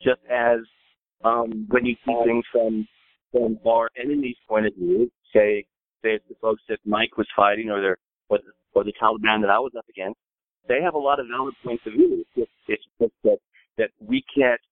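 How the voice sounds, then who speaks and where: An American man, an author, speaking reflectively on a panel in a deliberate, halting way that repeats words. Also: mid-range voice. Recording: narrow phone-like line.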